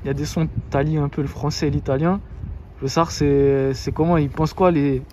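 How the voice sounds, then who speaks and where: A man's voice: fairly high pitch, fairly even intonation, brisk, music in the background. A man hosting a street interview, asking casual questions in a friendly, slangy way. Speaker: interviewer, show host.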